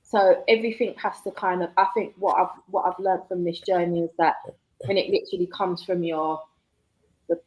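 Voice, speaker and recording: A woman's voice: on the low side, fairly even intonation, darkish tone, poor audio. Chatty woman in her forties. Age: 40s. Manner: chatty, laughs often, quick asides. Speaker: guest author. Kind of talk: casual interview chat.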